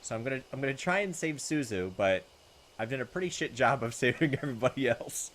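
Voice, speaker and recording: A man's voice: mid-range voice, lively intonation, fast talker, a bit bright, a little hiss or hum. A man hosting a let's play, reading visual novel text aloud and chatting in a hesitant, thinking-aloud way. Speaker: gaming video host. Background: game music.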